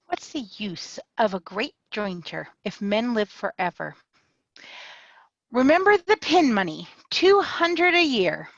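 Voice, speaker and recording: A woman's voice: fairly high pitch, big pitch swings, no room sound, full character voice. A woman reading the part of a petulant, greedy young lady over a video call, drawing out her lines. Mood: scornful. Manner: petulant and unhurried. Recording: dull, dry video-call audio.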